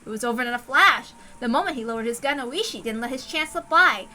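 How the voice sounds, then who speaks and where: A woman narrates a story in the first person with a dramatic delivery. Her voice sits fairly high and has a very bright, crisp edge. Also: lively intonation, fast talker, a little hiss or hum. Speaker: visual novel reader.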